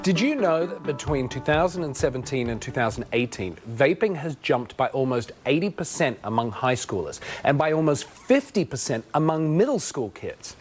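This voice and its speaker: This is an upbeat male host running a sponsored TV health segment. He asks his guest questions in a bright, emphatic, scripted way, keeping the interview moving. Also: mid-range voice, sing-song, normal speed, hardly any pauses, soft background music.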